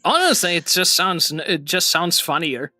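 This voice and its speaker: A man's voice: high-pitched, sing-song, normal speed, bright, forceful tone, faint music behind. A man hosting a casual stream chat, rambling from one thought to the next and laughing at himself often. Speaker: streamer host.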